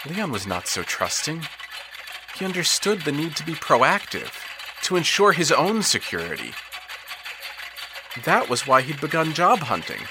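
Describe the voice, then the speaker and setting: A man's voice: fairly high pitch, noisy background. Man narrating an audio drama expressively. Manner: expressive storytelling, swelling with tension and dropping to hushed tones.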